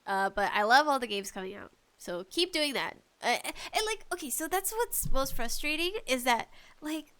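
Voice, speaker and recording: Woman, fairly high pitch, congested nasal tone, casual solo podcast host, animated, rambling delivery, self-deprecating. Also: normal speed.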